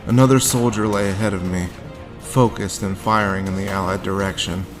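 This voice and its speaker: An actor playing a grim, haunted war veteran, telling his story deliberately in a noir audio drama. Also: deep voice, fairly even intonation, music in the background, lightly acted. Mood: grim, tense. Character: haunted hard-boiled male war veteran recounting his grim past.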